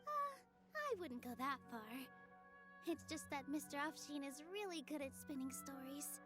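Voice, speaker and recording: An actress playing a gentle, earnest young female dancer in a game cutscene. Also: high-pitched, big pitch swings, normal speed. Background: game music.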